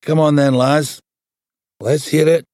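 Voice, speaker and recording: A man voicing a gruff, middle-aged Scottish female detective inspector in an audiobook, blunt, slangy and mocking. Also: on the high side, level tone, takes it slowly, darkish tone, no room sound, clean studio sound, pitched above the performer's own voice. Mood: sardonic, impatient.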